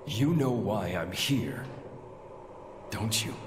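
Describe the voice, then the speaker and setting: A man's voice: lowish voice, lively intonation, slow, noisy background. A man playing a brooding, defiant villain in a dramatic video game, terse and menacing. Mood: impatient. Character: brooding, defiant villainous son confronting his maker. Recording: echoing space, music under voice.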